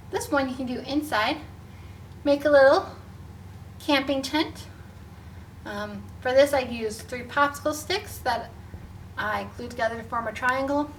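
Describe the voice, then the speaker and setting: A woman leading a storytime for preschoolers, expressive, explaining things in simple words for young children. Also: high-pitched. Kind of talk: picture-book read-aloud and craft talk for preschoolers. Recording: distant mic with faint background noise.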